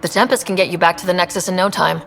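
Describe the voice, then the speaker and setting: Brisk, fast-talking space heroine. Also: female voice, fairly low pitch, fairly even intonation, vocal fry, fairly bright tone, slight background noise. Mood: businesslike. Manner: direct, businesslike. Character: young female space explorer hero, businesslike and helpful. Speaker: player character. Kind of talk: video game voice acting.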